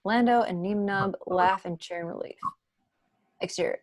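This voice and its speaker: A woman's voice: mid-range voice, normal speed, no room sound. A woman narrating the stage directions of a screenplay at a table read.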